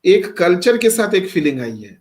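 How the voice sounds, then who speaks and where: Man from Bihar, classroom lecture, high-pitched voice, rhetorical questions, calls on students. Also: sing-song, normal speed, a little mellow. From Bihar, India. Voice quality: speaks Hindi with much English mixed in, quizzes students by name. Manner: asks rhetorical questions and answers them himself. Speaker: teacher.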